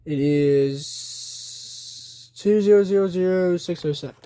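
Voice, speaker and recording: A man's voice: high-pitched, slow pace, dark tone, smooth. A man giving casual show-and-tell commentary, thinking aloud in a hesitant way. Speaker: video creator showing a collection.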